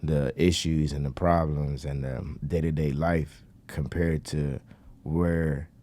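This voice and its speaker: A man's voice: rumbling low, fairly even intonation, slow pace, dark tone. A man in his thirties talking in casual podcast chat, earnest and preachy in long winding runs. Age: 30s. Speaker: podcast co-host.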